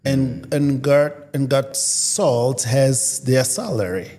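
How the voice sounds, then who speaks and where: A man's voice: mid-range voice, takes it slowly, mellow, soft background music. A man who is not a native English speaker, a guest on an interview podcast, hesitant and repeating words as he searches for them. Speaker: guest, photographer and musician. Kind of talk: casual interview chat. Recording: reverberant room.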